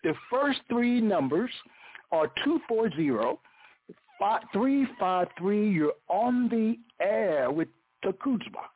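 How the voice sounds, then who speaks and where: Male call-in host, high and animated, slow breathy delivery; phone-line sound. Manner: slow, lively, breathy, with frequent laughs. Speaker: radio call-in show host. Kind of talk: radio call-in hosting.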